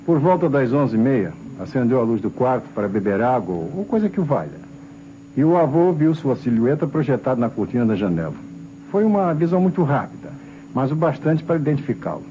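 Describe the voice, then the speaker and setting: A matter-of-fact Brazilian police officer played by a man in a vintage radio crime play. His mellow, mid-pitched voice delivers reports on a dull old recording. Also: very animated, brisk, slight background noise, lightly acted. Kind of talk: old Brazilian radio detective drama acting.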